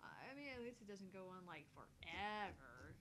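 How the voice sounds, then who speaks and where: A woman's voice: fairly low pitch, big pitch swings, normal speed, noisy background. A woman chatting casually as co-host of a game playthrough. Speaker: gaming channel co-host.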